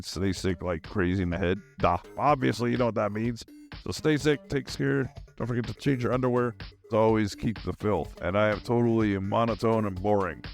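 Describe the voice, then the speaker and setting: A man hosting a solo podcast in a casual, rambling monologue, with a goofy manner and silly asides. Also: on the low side.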